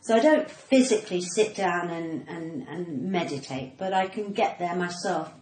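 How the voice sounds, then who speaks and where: A woman's voice: lowish voice, moderate pace, darkish tone. Posh English woman in an echoey room. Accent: English, cut-glass received pronunciation. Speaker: podcast guest, comedian. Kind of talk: casual interview chat. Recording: echoey room, faint background noise.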